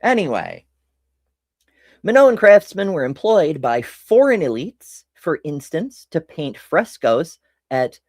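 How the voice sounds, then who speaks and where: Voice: medium pitch, very animated, slow, a little mellow, no echo, studio quality. An American host giving casual history commentary, rambling and self-interrupting with casual swearing. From United States.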